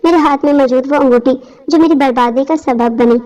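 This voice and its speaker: A woman's voice: high-pitched, fairly quick, warm tone. A woman reading a scripted first-person story as its narrator. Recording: dull lo-fi audio.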